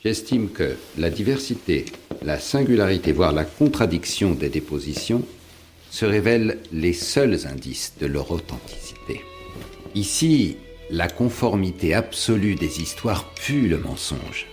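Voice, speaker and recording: A roman prefect, low voice, authoritative and suspicious, faint music behind. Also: male voice, very animated, darkish tone. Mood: suspicious reasoning. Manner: deliberate, authoritative. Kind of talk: French audio drama dialogue.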